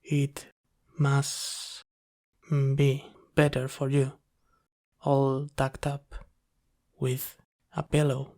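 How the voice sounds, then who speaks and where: A man playing a gentle, apologetic sleep paralysis demon in a soft, hesitant, reassuring ASMR roleplay. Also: medium pitch, monotone. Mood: tender, reassuring. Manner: soft-spoken, hesitant, reassuring, pausing often.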